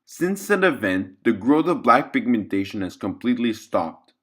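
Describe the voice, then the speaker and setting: A man's voice: mid-range voice, very animated, normal speed, fairly bright tone. A man working as narrator, reading out a scripted clinical report for an audio log story.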